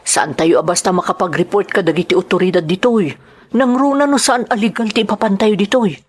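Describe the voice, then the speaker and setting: A knowing female migrant worker played by a woman narrator in a radio drama. She talks in a frank, conversational way, cautioning a newcomer, at a medium pitch. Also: a little fast, husky, natural voice.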